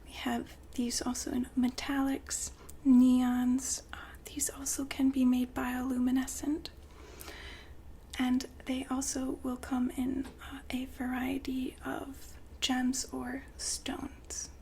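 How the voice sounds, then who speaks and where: A woman whispering softly and breathily in an ASMR roleplay, playing a gentle, attentive shop consultant. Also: high voice, monotone, slow. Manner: gentle, attentive customer-service patter. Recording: faint background noise and ambience.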